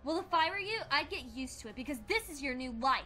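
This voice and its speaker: A woman voicing a stubborn, quick-tempered young princess pony in a cartoon, with an indignant, emphatic delivery. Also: high-pitched, expressive, bright, forceful tone, soft background music. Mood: haughty, angry. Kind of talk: animated cartoon voice acting.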